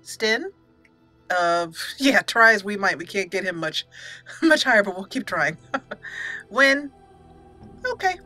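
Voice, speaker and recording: A woman's voice: mid-range voice, big pitch swings, slow pace, pauses often, pushed and bright, soft background music. A woman hosting a casual, chatty roleplay let's play, sometimes reading dialogue theatrically. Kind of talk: casual game commentary with roleplay.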